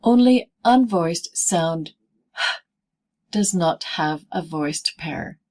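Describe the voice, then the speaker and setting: Slow, deliberate woman teaching pronunciation. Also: fairly low pitch, expressive, darkish tone. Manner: deliberate, clearly segmented teaching delivery. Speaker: pronunciation teacher presenting a video lesson. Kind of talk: scripted instructional narration in easy English.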